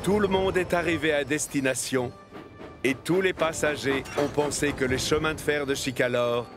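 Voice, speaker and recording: A man's voice: on the high side, moderate pace, music in the background. A man narrating a children's train story in French from a script.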